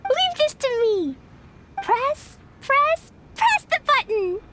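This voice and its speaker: A woman voicing a tiny, excitable fairy girl in a video game, squeaky and childlike. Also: slow, full character voice. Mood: eager. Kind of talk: cartoonish video game voice acting.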